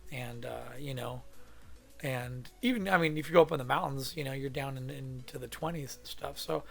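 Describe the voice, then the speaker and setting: A man from California with a hoarse voice from a cold, thinking aloud in a rambling, casual way as he teaches a drawing demo in his home studio. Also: medium pitch, normal speed, a bit bright, faint music behind. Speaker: art instructor.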